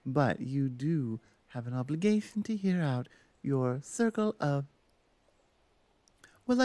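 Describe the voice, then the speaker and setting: Woman acting a playful orc shaman, low mellow voice. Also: no room sound, exaggerated voice. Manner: chatty, playful, hesitant asides. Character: teasing, devoted female orc shaman. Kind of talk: ASMR roleplay, intimate one-sided conversation.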